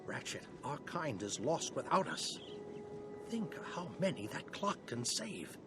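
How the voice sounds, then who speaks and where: A man's voice: on the high side, big pitch swings, measured pace, loud music behind the voice. A man playing a proud older alien general in a video game, persuasive and passionate. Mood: impassioned. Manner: impassioned, persuasive. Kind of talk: animated game cutscene acting.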